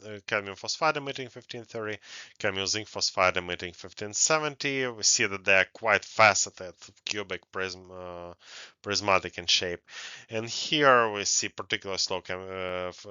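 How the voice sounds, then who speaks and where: A middle-aged man presenting technical research as an academic, speaking haltingly with frequent pauses into a headset. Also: sits low, pushed and bright, smooth, dry recording. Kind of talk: technical conference lecture over slides. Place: indoors, recorded online presentation. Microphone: headset on a video call. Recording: dull, band-limited headset audio.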